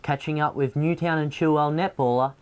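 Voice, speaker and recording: A man's voice: on the high side, fairly even intonation, brisk, clean tone, dull audio. An Australian man presenting local news from a script.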